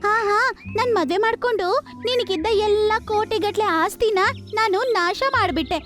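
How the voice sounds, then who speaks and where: Cartoon wife rabbit, played by a woman: extremely high voice, sarcastic, nagging, aggrieved, music behind. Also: normal speed. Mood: sarcastic, quarrelsome. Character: sharp-tongued scolding wife rabbit, quarrelsome and aggrieved. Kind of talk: cartoon voice acting.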